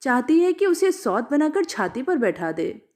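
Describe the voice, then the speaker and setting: Lady reader doing a bossy bitter old village mom telling off her son, high and swooping, accusing. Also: sing-song, unhurried, a little mellow. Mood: angry, scolding. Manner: scolding, accusatory. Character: bitter, domineering older village mother, scolding her son.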